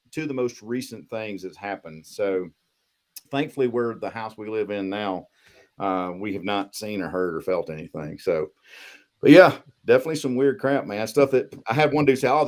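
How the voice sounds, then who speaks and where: A man's voice: mid-range voice, expressive, normal speed. A man chatting casually and telling spooky personal stories in a folksy, slangy way, as a guest on a podcast. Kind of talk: casual storytelling chat.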